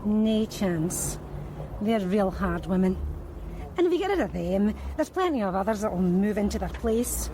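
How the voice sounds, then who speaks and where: A woman's voice: medium pitch, sing-song, measured pace, dark tone, a little hiss or hum, poor audio. An actress with a Scottish accent playing a blunt, wry woman who works the streets, in a radio drama. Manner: blunt, wry, matter-of-fact. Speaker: street sex worker questioned by police.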